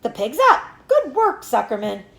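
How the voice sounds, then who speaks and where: A woman voicing a jubilant storybook fairground crowd, cheering loudly. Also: sing-song, normal speed, creaky, echoey room, full character voice. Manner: high, loud cheering.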